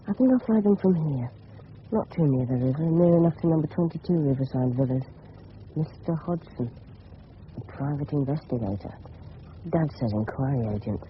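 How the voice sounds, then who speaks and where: Eager, cheeky English schoolboy played by a man, fairly high clipped voice, inner monologue on a tinny old radio broadcast. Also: a little hiss or hum, lightly acted. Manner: clipped, fragmentary, boyish slang.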